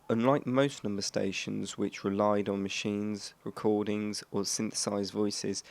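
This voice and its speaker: A man's voice: fairly low pitch, creaky, clean tone. A man reading scripted narration as a host, stumbling over and restarting phrases now and then. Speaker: host of a shortwave radio history channel.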